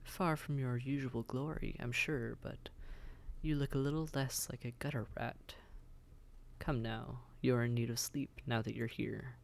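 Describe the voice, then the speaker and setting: A suave demon prince of Hell, played by a man, speaks with a gravelly, fry-laden voice of middling pitch. He is unhurried and coaxing, teasing yet gentle, often sinking into breathy near-whispers. It is an intimate audio roleplay with faint ambience behind the voice. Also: steady tone, a little hiss or hum. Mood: wry, gentle.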